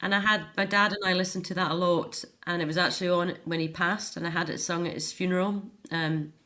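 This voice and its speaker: A middle-aged Scottish woman with a slightly low voice talks as an interview guest. She is chatty and earnest, moving through her thoughts in an informal, anecdotal way. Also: steady tone, a little fast, pushed and bright. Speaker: interview guest, author and care home activities volunteer or worker. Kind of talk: informal radio interview chat.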